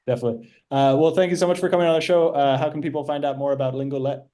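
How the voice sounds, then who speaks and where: A man's voice: fairly high pitch, steady tone, very fast, dark tone. A man hosting a podcast, asking questions and thinking aloud in casual conversation. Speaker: podcast host.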